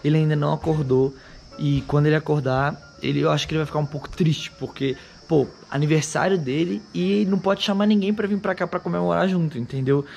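A hoarse young man chatting casually to viewers in a vlog, filmed on a handheld action camera on an outdoor patio and in a kitchen. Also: fairly high pitch, fast talker, a little mellow, dull audio, faint music behind. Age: young adult. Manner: chatty, addresses viewers directly. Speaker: vlogger. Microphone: handheld action camera at arm's length.

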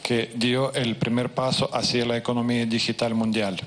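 A man's voice: mid-range voice, level tone, moderate pace, a bit bright. A man interpreting consecutively, hesitant with fillers and restarts.

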